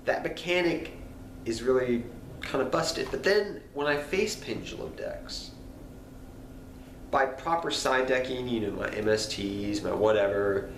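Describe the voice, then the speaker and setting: A man with a cold, sounding nasal, talking casually and rambling to his channel viewers. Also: medium pitch. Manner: rambling, repeats himself, apologetic. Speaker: card game channel host. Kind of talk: casual talk to camera. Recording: echoey room, faint background noise.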